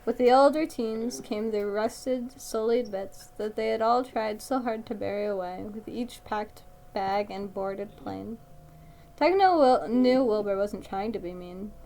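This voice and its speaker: A woman's voice: medium pitch, a little slow, gravelly, dark tone, poor audio. A woman reads a story aloud and breaks in with blunt, mocking, sweary casual commentary. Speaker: reader reacting to a fan fiction story.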